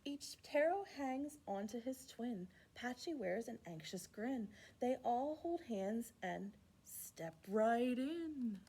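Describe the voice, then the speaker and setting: A woman hosting a children's storytime, reading a rhyming picture book aloud in an expressive, playful way with asides to young listeners. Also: on the high side, slow, hardly any pauses, slightly rough. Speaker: children's library storytime host.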